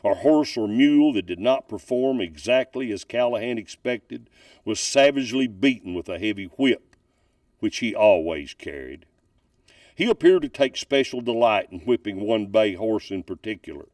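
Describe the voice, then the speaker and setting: A man reading a ghost story aloud from a book as a storyteller, with deliberate, dramatic emphasis. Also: fairly low pitch, expressive, darkish tone, no room sound, clean studio sound, far from the mic. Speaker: narrator reading ghost stories.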